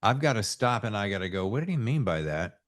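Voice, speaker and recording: Man, low pitch, swooping intonation, podcast host, emphatic, casual conversational delivery. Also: sing-song, fast. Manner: emphatic, acts out imagined reactions in quotes. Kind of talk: casual chat.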